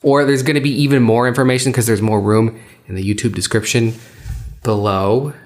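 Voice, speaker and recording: A man's voice: medium pitch, steady tone, a little fast. A man hosting a podcast in casual, unscripted chat, rambling and self-deprecating. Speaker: podcast host and reader.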